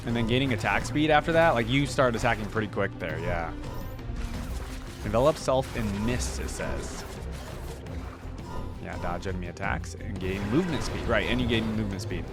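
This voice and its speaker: A man's voice: on the low side, normal speed, pauses often, over music. A man hosting a reaction video with casual, rambling, conversational commentary.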